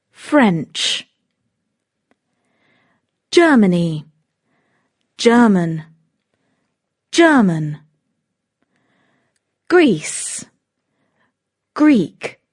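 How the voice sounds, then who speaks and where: A woman's voice: mid-range voice, husky. A woman narrating a vocabulary lesson, reading a word list one item at a time. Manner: reads each word on its own, with lively intonation.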